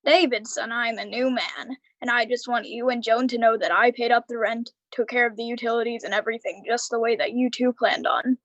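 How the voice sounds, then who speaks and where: A slightly high, dryly recorded voice of an older man in love, acted by a woman. He is earnest and gentle, in a radio-play style. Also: fairly even intonation, brisk, few pauses, no echo, highs cut off, natural voice. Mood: smitten, earnest. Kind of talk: live radio drama acting.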